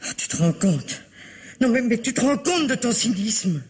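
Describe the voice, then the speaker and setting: A woman acts a stern matriarch in an old radio play. She is sharp, theatrical and full of reproach, heard through the faint noise of an aged recording. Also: on the high side, expressive, moderate pace, close to an everyday voice. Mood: accusing. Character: stern, indignant family matriarch scolding a young man. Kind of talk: vintage French radio drama acting.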